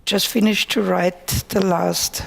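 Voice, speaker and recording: Slow, gravelly-voiced woman chairing a session. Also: medium pitch, steady tone. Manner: slow, instructing the audience. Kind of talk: moderating a conference session. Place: conference hall.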